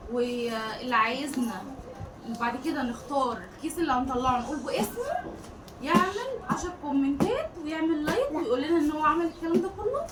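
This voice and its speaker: Egyptian female family vlogger, rapid-fire chatty delivery, casual unboxing talk, recorded off-mic in an echoey room. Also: high-pitched. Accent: Egyptian Arabic. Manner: chatty, addressing viewers and family. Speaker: family vlog host. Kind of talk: casual chat while unboxing toy bags. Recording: distant mic, echoey room, clear background noise.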